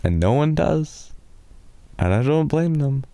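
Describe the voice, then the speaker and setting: A British man softly rambling in an improvised ASMR boyfriend roleplay, telling a drowsy, meandering story to lull a listener to sleep. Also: on the high side, big pitch swings, creaky, no echo. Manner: soft, slow, drowsy storytelling.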